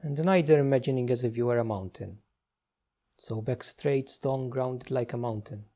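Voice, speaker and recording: A man guides a meditation in a dark, relaxed voice of medium pitch. He gives gentle instructions in short phrases and leaves unhurried pauses between them.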